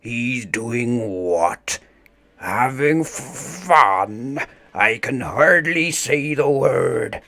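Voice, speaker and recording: A man's voice: medium pitch, very animated, very slow, sharp tone, dry recording, voice pushed up, full character voice. A man voicing a pompous, joyless villain admiral in a storybook, sneering and spiteful. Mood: appalled disgust.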